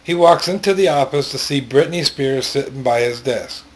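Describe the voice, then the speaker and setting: A man narrating a story in an amateur read-aloud style, plainly, with pauses and stumbles. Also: medium pitch.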